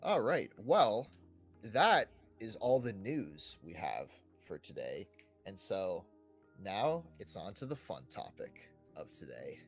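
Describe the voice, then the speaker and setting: A man's voice: on the low side, big pitch swings, slow, faint music behind. A young man hosting a casual gaming podcast, speaking informally and conversationally with plenty of filler words. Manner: informal, conversational, repeats "like" often. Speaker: podcast host. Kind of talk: casual chat about video games.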